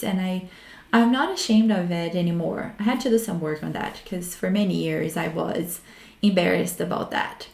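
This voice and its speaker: A woman's voice: lowish voice, a little mellow. Brazilian-accented woman hosting, echoey room. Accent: Brazilian Portuguese. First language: Portuguese. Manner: reflective, frank, halting with restarts. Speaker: host. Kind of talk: solo talk on research methods. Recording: roomy echo.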